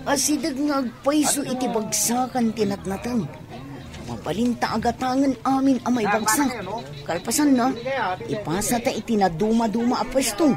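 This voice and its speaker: A woman's voice: fairly high pitch, expressive, moderate pace, crowd noise, natural voice. A woman with an Ilocano accent playing a respectful, hopeful young wife in a radio drama. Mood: hopeful, pleased.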